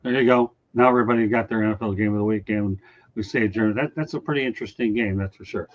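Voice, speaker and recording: A man hosting a casual sports podcast, his voice going a little raspy as he hands off to co-hosts. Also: lowish voice, lo-fi sound. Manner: hands off to co-hosts, brisk wrap-ups. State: voice getting raspy. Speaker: podcast host.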